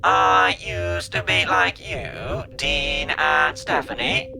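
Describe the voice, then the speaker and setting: Very slow, gravelly cyborg giant. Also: male voice, sits high, slight background noise, pitched above the performer's own voice, exaggerated voice. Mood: cold, commanding. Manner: slow, measured, monotone delivery of stilted phrases. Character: converted cyborg man, cold emotionless metal giant. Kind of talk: audiobook sci-fi character voice. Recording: music behind the voice.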